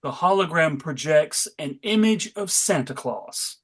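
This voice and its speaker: A man's voice: a little high, animated, measured pace, slightly rough. A man hosting and narrating a comic book table read in a dramatic storytelling style. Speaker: narrator and host.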